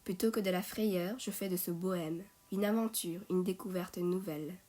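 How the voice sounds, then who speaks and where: A woman's voice: fairly low pitch, normal speed, mellow. Woman reciting poetry over music. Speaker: poet reading own poem.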